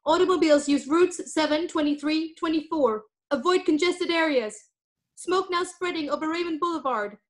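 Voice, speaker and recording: A woman's voice: sits high, animated, moderate pace. A woman playing an urgent emergency announcer in a radio drama, giving clipped, telegraphic warnings.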